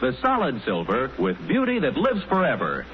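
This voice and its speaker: A man's voice: medium pitch, huge pitch swings, moderate pace. An American man working as a 1940s radio announcer, delivering scripted lines in a polished, salesmanlike manner. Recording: muffled, noisy 1940s radio recording.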